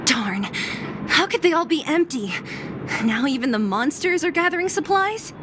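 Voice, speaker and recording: A woman's voice: high-pitched, expressive, moderate pace, background noise. An actress playing a brash, streetwise young woman fighter in a video game, teasing and blunt. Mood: brisk, probing. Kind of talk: video game cutscene voice acting.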